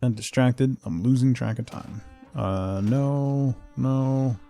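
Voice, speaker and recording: Male game streamer, casual and playful, unhurried delivery with gravelly fry and faint music behind. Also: medium pitch, mellow. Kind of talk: casual live game commentary, chatting to viewers.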